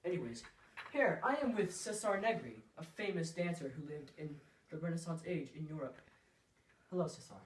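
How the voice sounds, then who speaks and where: A man filling in as host of a mock news skit in a dry, deadpan way. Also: on the high side, moderate pace, distant mic. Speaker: stand-in host in a school skit. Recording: echoey room from afar with faint noise.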